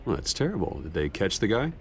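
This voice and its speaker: Very deep, guarded billionaire vigilante. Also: male voice, sing-song, fast talker, slight background noise. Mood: dry, composed. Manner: terse, guarded, dry. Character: wealthy guarded male billionaire secretly a masked vigilante. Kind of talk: dramatic video game dialogue.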